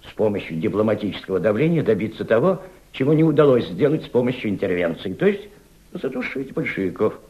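An actor playing an impassioned senior Soviet diplomat in a radio drama, mentoring a young subordinate with emphatic, didactic rhetoric and deliberate repetition. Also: male voice, fairly low pitch, very animated, lo-fi sound. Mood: grave, persuasive. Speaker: actor in an audio play.

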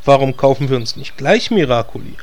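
A man's voice: mid-range voice, animated, a little fast, close to an everyday voice. A man playing an earnest, know-it-all gamer adventurer in a parody fantasy audio drama. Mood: matter-of-fact.

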